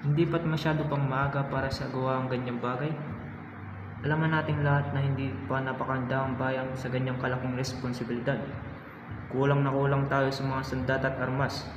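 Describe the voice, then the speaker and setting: A man playing an earnest, principled Filipino patriot in an amateur Tagalog radio drama, reciting in a formal, measured way. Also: mid-range voice, flat delivery, background noise, natural voice. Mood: firm, reluctant. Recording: muffled, echoey reverberant hall, music behind.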